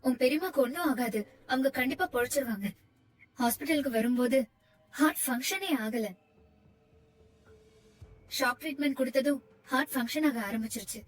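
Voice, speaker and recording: An actress in a TV serial playing a calm woman doctor who reassures a worried family. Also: high voice, normal speed, pauses often, faint music behind, natural voice, poor audio. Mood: soothing. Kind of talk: TV serial acting.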